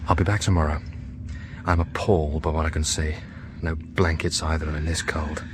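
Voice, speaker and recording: A man's voice: rumbling low, fairly even intonation, normal speed, background noise. An actor playing a compassionate, earnest English clergyman in a radio drama. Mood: concerned. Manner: earnest, gentle but firm.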